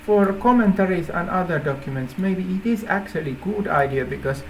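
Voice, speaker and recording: A native Finnish man speaking English with a Finnish accent, informally explaining an idea in halting phrases with repeated words. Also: high-pitched, lively intonation, a little hiss or hum, rough recording. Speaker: app developer presenting a feature idea.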